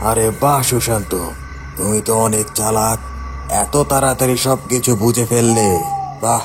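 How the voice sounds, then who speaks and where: A man's voice: lowish voice, fairly even intonation, measured pace, music in the background, natural voice. A man playing a smug, scheming village villain in a horror cartoon, mocking and menacing.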